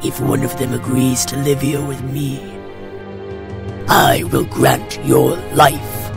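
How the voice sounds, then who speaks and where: A man's voice: mid-range voice, sing-song, takes it slowly, heavily acted. A man voicing a huge, angry lion-like beast in a children's story, with a growling, stern, threatening delivery. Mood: stern, menacing. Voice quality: gravelly, growling. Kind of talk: children's storybook character acting. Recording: music behind the voice.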